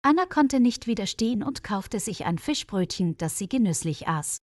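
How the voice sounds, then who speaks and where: A woman with a mid-range voice narrates a scripted story for language learners. Her delivery is measured, with each sentence clearly phrased. The recording is dry, with hardly any room around the voice. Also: medium pitch, very animated, no room sound. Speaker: narrator of German-learning stories.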